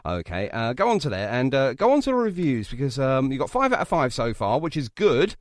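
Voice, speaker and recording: A man's voice: medium pitch, very animated, fairly quick, no echo, clean studio sound. A man hosting a chatty radio interview, jokey and teasing, often repeating himself. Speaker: radio show host.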